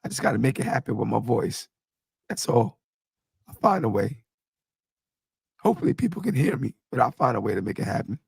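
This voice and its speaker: Hoarse, gravelly man hosting a fan podcast. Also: mid-range voice, a little fast, pauses often, dry recording. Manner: animated, hype greetings, rambling. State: hoarse, losing his voice. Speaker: podcast host. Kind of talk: casual fan podcast chat.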